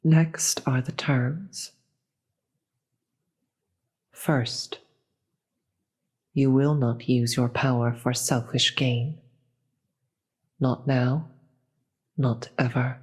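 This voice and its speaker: Slow, breathy demon queen, played by a voice actor. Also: medium pitch, fairly even intonation, darkish tone. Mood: stern. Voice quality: breathy, often dropping into whisper. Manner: slow, formal, measured, grave. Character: regal demon queen, solemn, seductive, persuasive victor. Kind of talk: scripted fantasy audio roleplay monologue.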